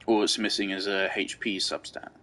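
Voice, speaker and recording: A man's voice: lowish voice, little pitch movement, normal speed, sharp tone, slight background noise. A man giving casual gameplay commentary, rambling and thinking aloud as he goes. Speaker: game streamer.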